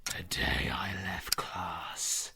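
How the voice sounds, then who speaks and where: A British man playing a grieving, bitter werewolf villain in an audio roleplay, speaking in a theatrical, anguished, breathy manner. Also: low voice, monotone, bright, forceful tone, heavily acted. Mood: haunted, sorrowful. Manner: slow, theatrical, anguished, often breathy and half-whispered. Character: grieving male werewolf villain, bitter and powerful, mourning his mother. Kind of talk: scripted audio roleplay monologue.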